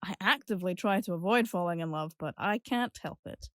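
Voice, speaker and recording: A woman's voice: fairly low pitch, brisk, no echo, studio quality, lightly acted. An actress playing an impulsive, lovestruck young woman, telling her story candidly and conversationally in an audio drama. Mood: candid, wistful. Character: impulsive, lovestruck young Scottish woman recalling a whirlwind romance. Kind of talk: confessional audio drama narration and dialogue.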